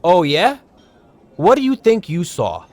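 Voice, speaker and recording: Radio-play fixer male actor: small-time bully, blustering and argumentative, high male register, very animated delivery. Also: unhurried, exaggerated voice. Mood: guarded, bargaining. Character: short, shifty, bullying political fixer, loudly indignant. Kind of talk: audio drama acting.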